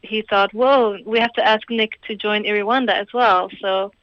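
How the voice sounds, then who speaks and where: A woman's voice: mid-range voice, steady tone, normal speed, no echo, muffled recording. A woman from Croatia, a singer-songwriter answering interview questions in a hesitant, thoughtful way with small laughs. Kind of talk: radio interview guest.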